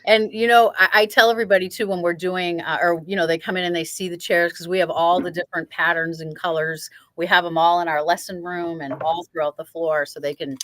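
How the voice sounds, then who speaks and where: A woman's voice: lowish voice, steady tone, a little fast, few pauses, fairly bright tone, low-quality recording. Chatty woman hosting a shop video. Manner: chatty, eager, repeats words. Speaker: sewing shop host. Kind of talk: casual chat.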